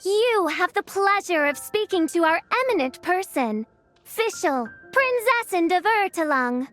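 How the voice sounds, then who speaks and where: A haughty self-styled teenage princess in a game. Her voice is extremely high, and she declaims pompously in archaic, theatrical diction. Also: expressive, measured pace, a bit bright, faint music behind, exaggerated voice. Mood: grandiose. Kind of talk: video game character acting.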